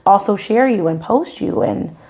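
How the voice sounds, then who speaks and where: A woman in her twenties is a guest on a casual podcast. She has a warm, mellow voice and speaks in a soft, agreeable way, full of fillers. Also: mid-range voice, expressive, normal speed. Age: 20s. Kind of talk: casual chat.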